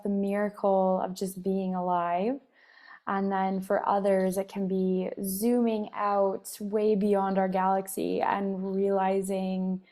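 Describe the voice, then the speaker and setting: A woman with a slightly scratchy voice and a cough, hosting a spiritual meditation talk in a soft, meandering way with many fillers. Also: medium pitch. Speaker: wellness meditation host.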